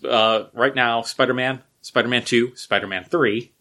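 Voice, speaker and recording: A man's voice: mid-range voice, fairly even intonation, moderate pace, bright, forceful tone. A man co-hosting a casual podcast who rambles through movie news and trails off as he searches for titles. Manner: rambling, loses words mid-sentence. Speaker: podcast co-host.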